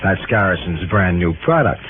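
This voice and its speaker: Period radio role: folksy, easygoing American pal, animated intonation, thin noisy recording. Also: male voice, low voice, normal speed, narrow, tinny audio. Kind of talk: 1950s American radio acting.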